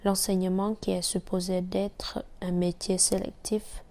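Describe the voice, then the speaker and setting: A young woman from Vietnam, speaking French with a Vietnamese accent, reading a personal essay aloud in a measured way. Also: mid-range voice. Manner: slow, even, measured reading.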